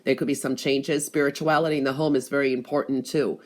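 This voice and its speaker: A woman with a stuffed-up, congested voice giving an intuitive spiritual reading, pausing often with "um" and repeating that she feels the energy. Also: extremely low pitch, little pitch movement, a little mellow. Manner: hesitant, frequent "um" and "I do feel that energy". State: congested from allergies. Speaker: tarot and gemstone reader.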